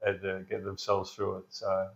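A man's voice: deep voice, monotone, moderate pace, vocal fry. A middle-aged man from New South Wales, speaking as a pastor in a casual interview, hesitant with many fillers and restarts, laughing easily. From Blue Mountains, New South Wales, Australia. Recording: echoey, dull lo-fi room sound.

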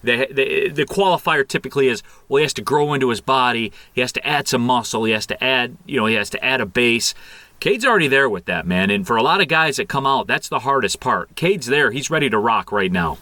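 A man giving animated, conversational sports analysis as a guest, repeating phrases for emphasis. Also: medium pitch, fairly quick, no echo.

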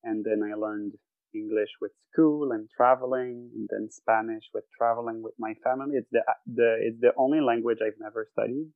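A man's voice: mid-range voice, fairly even intonation, normal speed, no room sound, phone-quality sound. A man, a podcast guest who talks thoughtfully and searches for words. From Quebec, Canada. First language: French. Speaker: guest athlete. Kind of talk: casual podcast interview. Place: home bedroom.

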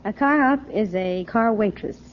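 A woman's voice: mid-range voice, normal speed. A young American woman giving short answers as a quiz show contestant. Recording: dull, noisy old radio recording, audience.

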